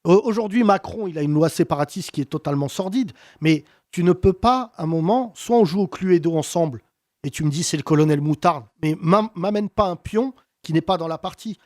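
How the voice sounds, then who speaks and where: A man hosting a heated political debate, talking with passion and emphasis and wide swings in pitch. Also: fairly quick, darkish tone, no room sound, clean studio sound. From France. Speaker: host.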